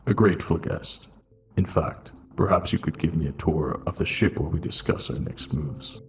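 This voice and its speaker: A man voicing a noble, weary robot leader in a fan dub, measured and earnest. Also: very deep voice, a little hiss or hum. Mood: humble. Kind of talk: scripted fan dub of a comic. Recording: roomy, reverberant sound.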